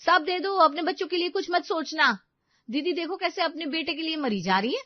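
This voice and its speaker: A woman's voice: very high voice, expressive, brisk, dry recording, highs cut off, pitched above the performer's own voice. A woman voicing a bossy, resentful young sister-in-law in a story, scolding and emphatic.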